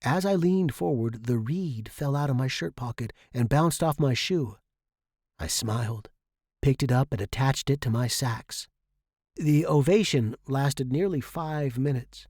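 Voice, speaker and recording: A male narrator tells a first-person short story in a middle-pitched voice. The reading is expressive and scripted, recorded dry without room sound. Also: unhurried, no room sound.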